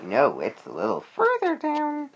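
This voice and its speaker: A woman's voice: low-pitched, wildly swooping pitch, unhurried, husky, highs cut off, voice pushed up, heavily acted. A woman voicing a helpful, friendly big orange bird in a children's storybook.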